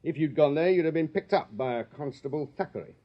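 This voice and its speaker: An actor playing a shrewd Victorian police sergeant in a radio drama, English, clipped and plain-spoken with a drily insolent deference. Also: male voice, fairly high pitch, lively intonation, fast, close to an everyday voice. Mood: knowing, accusing. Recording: slightly dull radio recording.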